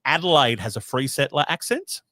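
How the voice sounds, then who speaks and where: A man's voice: mid-range voice, lively intonation, fairly quick, sharp tone, no echo. Guest, male, broad Western Sydney Australian accent, chatty, dry close sound. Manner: chatty, explains at length with fillers. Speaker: guest, author and radio host. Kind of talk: casual video-call interview. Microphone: video call.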